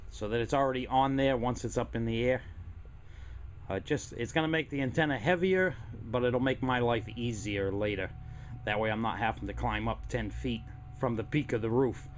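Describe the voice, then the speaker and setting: A man talks through a do-it-yourself job in a casual, explanatory manner. His voice sits at an ordinary middle pitch. There is a faint layer of noise from the handheld camera. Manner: plainspoken, explanatory. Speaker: hobbyist presenter. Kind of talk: casual hands-on project narration. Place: home workshop and outdoor driveway. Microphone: handheld camera, close to speaker.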